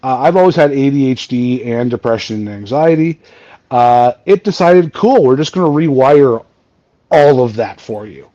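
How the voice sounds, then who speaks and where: A Canadian man in his forties with a booming voice, telling his story in a rambling way as a podcast guest. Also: lively intonation, normal speed, warm tone, no echo, muffled recording. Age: 40s. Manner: rambling, many fillers and restarts. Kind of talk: casual storytelling chat. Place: home. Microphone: remote video call.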